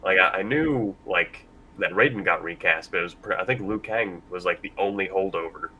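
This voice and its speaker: A man's voice: low voice, moderate pace, sharp tone, slight background noise, rough recording. A man hosting a casual panel discussion, talking informally before a live room. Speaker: panel host.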